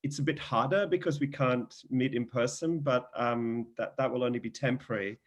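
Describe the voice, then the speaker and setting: A man hosts a video call. He speaks in a friendly, hesitant way with many fillers. His voice is at a middle pitch. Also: moderate pace. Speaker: host of the event.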